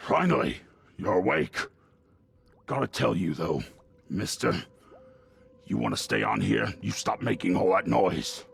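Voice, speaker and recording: A man's voice: low voice, big pitch swings, unhurried, pauses often, full character voice. An actor playing a grumpy, suspicious male innkeeper who scolds his guest with contempt. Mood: grumbling. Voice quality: gravelly. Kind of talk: video game cutscene acting.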